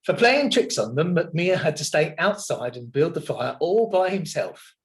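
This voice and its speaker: A man narrating a children's storybook in an expressive, dramatic manner. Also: a little high, measured pace. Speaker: children's story reader at a primary school.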